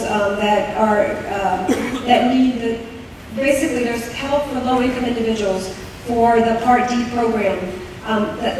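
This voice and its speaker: A woman explaining benefit rules at a town hall meeting, speaking hesitantly with many ums and restarts. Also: on the high side. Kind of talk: informal public talk with many fillers. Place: large echoey meeting hall. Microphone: room mic heard from a distance. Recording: echoey hall recording from far off with background noise.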